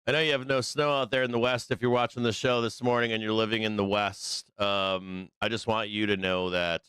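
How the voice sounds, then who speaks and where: A hoarse Midwestern American man in middle age, talking low and loose on his live trading stream. His worn voice still comes through very clean and close on a dry, tight mic. He rambles, swears freely and leans on ums. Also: fairly even intonation, fairly quick, fairly bright tone, no echo. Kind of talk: casual profane live-stream chat.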